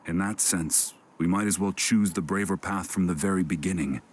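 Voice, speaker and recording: An actor playing a grieving, regretful warrior in a video game, with a measured, weary and reflective delivery. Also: male voice, low voice, slight background noise, close to an everyday voice. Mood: sombre, regretful. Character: grieving, regretful adult male warrior, sombre and steadfast.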